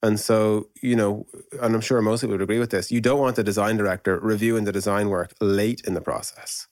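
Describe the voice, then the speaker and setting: A man's voice: on the low side, steady tone, brisk, a little mellow, no room sound, studio quality. A man explaining his thinking in a casual podcast conversation, informal and thinking aloud with frequent asides. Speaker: podcast guest discussing product principles and process.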